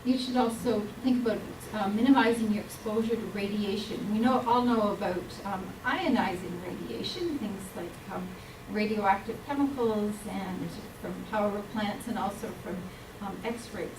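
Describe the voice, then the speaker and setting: A woman giving an earnest, explanatory public health talk, with frequent pauses and ums. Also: medium pitch, background noise. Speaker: health speaker. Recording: roomy hall mic from a distance.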